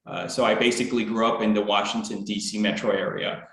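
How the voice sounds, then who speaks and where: A man's voice: fairly low pitch, little pitch movement, quick pace. A man hosting a business interview show, friendly and brief in his replies. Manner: friendly, short affirmations. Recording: roomy echo.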